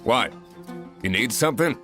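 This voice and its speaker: A man voicing a gruff, curt, burly blacksmith in a fantasy video game. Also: medium pitch, animated, normal speed, over music, lightly acted. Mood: brusque.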